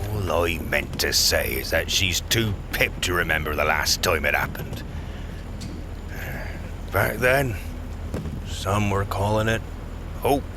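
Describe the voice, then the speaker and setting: Deep, gravelly old cynic smuggler. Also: male voice, expressive, slow pace, long pauses, heavily acted. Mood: sardonic. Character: cynical, grizzled older male smuggler, mocking and fatalistic. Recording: loud ambient noise behind.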